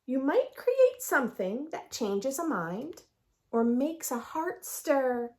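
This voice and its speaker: A woman reading a picture book aloud for young children in an expressive, sing-song storytelling manner, adding her own asides. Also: high voice, slow pace. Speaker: children's read-aloud host.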